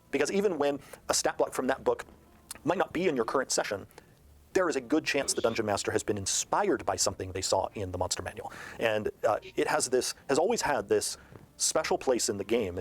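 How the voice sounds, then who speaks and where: A man's voice: mid-range voice, lively intonation, fairly quick, slightly rough. Explanatory man discussing a game book. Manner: explanatory, building long sentences with examples.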